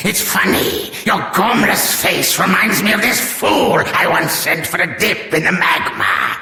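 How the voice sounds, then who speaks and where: A man's voice: high-pitched, a little slow, gravelly, pushed and bright, full character voice. A man voicing a sadistic monster henchman in a video game, theatrical and mocking, with a drawling mock politeness. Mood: gloating, mocking. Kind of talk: scripted video game villain dialogue. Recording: strong roomy echo.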